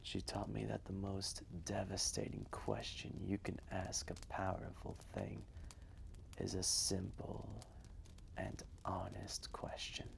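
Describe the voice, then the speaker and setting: A man playing an ancient, wise and gentle dragon, telling a story in a hushed, breathy, measured voice with long pauses. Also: deep voice, little pitch movement, slight background noise, heavily acted. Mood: reflective, solemn. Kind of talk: slow intimate storytelling roleplay, ASMR-like.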